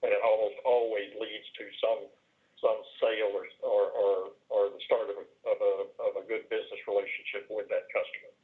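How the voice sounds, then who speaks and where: Male presenter, low voice, halting conversational delivery, heard through a narrow phone line. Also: little pitch movement. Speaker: business presenter, managed IT services provider. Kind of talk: sales presentation on a conference call. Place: conference call. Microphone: telephone. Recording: tinny band-limited telephone line.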